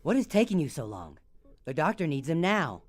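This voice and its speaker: Snappish, animated alien henchman played by a man. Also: fairly high pitch, a little fast. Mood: scornful. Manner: curt, scolding. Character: impatient, snappish assistant to an alien doctor. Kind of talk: comic sci-fi radio play acting.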